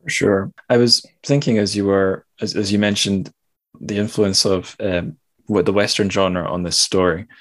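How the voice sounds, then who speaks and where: A man with a deep voice hosts a literary podcast. He talks casually and thinks aloud, circling around his point and poking fun at himself as he goes. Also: fairly even intonation, normal speed. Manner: rambling, thinking aloud, self-deprecating. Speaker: podcast host.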